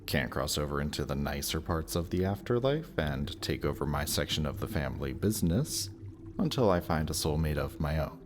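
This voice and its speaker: A man's voice: a bass voice, lively intonation, a little fast, background noise. A man playing a death god's smug, lovestruck young son in a romantic audio roleplay, talking casually and teasingly to the listener. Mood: persuasive, casual.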